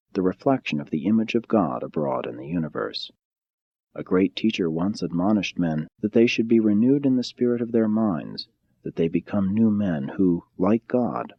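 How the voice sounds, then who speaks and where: A man reading a spiritual audiobook in a measured, solemn and formal manner. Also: low-pitched, slightly rough, highs cut off. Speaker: audiobook narrator. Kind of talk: scripted audiobook narration of a spiritual text.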